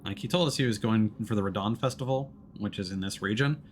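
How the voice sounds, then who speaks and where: A man's voice: lowish voice, fast. A man giving casual, explanatory live commentary as he streams a video game, in a conversational way. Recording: loud game noise behind voice. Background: video game sound.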